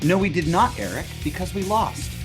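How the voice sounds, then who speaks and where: A man's voice: high-pitched, big pitch swings, normal speed. A man reading a scripted, comic podcast promo as one of its hosts. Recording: produced promo over guitar music. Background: guitar music.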